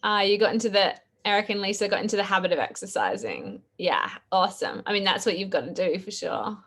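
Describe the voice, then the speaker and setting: A woman with an Australian accent hosting a live podcast chat over a video call, her voice a bit croaky, chatty and quick to laugh. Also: mid-range voice, lively intonation, fairly bright tone. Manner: chatty, laughs often, fillers. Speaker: podcast host. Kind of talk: casual live-stream chat.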